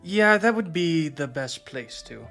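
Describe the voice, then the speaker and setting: A man's voice: on the high side, lively intonation, unhurried, a bit bright, soft background music. A man playing a practical, mildly exasperated adventurer in a fantasy radio drama.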